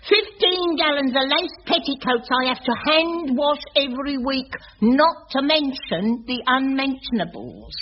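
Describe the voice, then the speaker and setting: An actress playing a blunt, chatty elderly cleaner with a working-class English accent in a radio sitcom. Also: female voice, high-pitched, measured pace, hardly any pauses. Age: older. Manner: indignant, colloquial, chatty. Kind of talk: British radio sitcom acting. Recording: muffled, noisy old radio recording.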